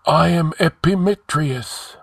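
A man's voice: fairly high pitch, takes it slowly, gravelly, fairly bright tone, dry recording, clean studio sound, voice pushed up, heavily acted. A man voicing an ancient ghostly sage in a fantasy audiobook, solemn, commanding and oratorical in an archaic way.

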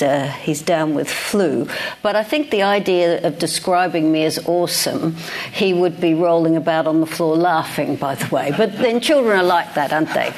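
An older Australian woman, a guest speaker, talking casually and self-deprecatingly about her career in front of an audience, with frequent restarts. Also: fairly low pitch. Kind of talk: reflective conversation on stage. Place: lecture hall with live audience.

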